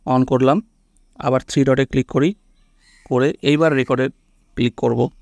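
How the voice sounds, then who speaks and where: A man's voice: mid-range voice, fairly even intonation, normal speed, creaky, darkish tone. A man hosts a casual step-by-step phone tutorial, instructing and often checking that the listener follows. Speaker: tech tutorial host.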